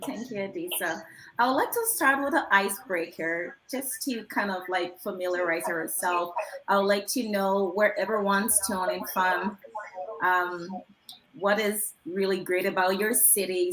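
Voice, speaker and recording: A woman's voice: mid-range voice, fairly even intonation, normal speed, a bit bright, a little hiss or hum. Inquisitive woman on an echoey call. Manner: polite, inquisitive, with frequent fillers. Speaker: panel moderator. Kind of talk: online panel talk. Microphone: video call.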